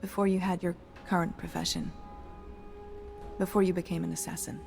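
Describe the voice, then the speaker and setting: Creaky, lowish, earnest servant woman. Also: level tone, a little fast, a little mellow, faint music behind. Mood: anxious, pleading. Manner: polite, hesitant, urgent. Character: earnest, worried servant woman pleading discreetly. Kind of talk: video game character acting.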